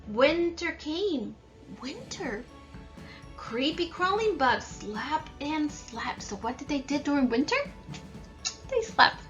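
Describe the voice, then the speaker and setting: A woman's voice: sits high, animated, slow, a bit bright, muffled recording, faint music behind. A woman reading a children's story aloud and explaining it like a teacher.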